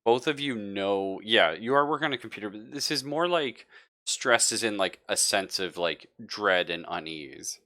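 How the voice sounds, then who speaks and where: A man narrating a tabletop game casually as game master, thinking aloud in an informal, halting way. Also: on the low side, animated, sharp tone.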